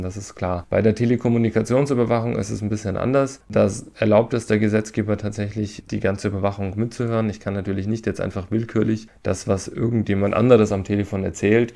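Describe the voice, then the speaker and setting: Male legal expert for podcast interview, low-pitched with plenty of fry, explanatory delivery. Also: dark tone.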